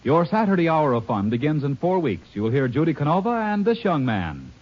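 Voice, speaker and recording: A man's voice: a little high, huge pitch swings, fairly quick. A man announcing network promotions in 1950s radio style. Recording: muffled old radio recording.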